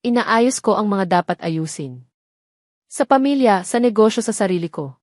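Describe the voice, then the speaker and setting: A woman's voice: mid-range voice, lively intonation, normal speed, a little mellow, no room sound, studio quality, natural voice. Woman voicing an earnest, remorseful man in love. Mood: sincere. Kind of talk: romantic audio drama.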